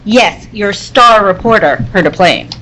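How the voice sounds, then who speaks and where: A woman's voice: mid-range voice, lively intonation, a little slow, sharp tone. Woman playing a sassy reporter, noisy hall. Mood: scornful. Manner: sarcastic, needling. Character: sassy, sceptical young woman newspaper reporter. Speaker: table-read performer. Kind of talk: 1940s radio serial acting, live read. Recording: noisy convention floor ambience. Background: convention hall noise.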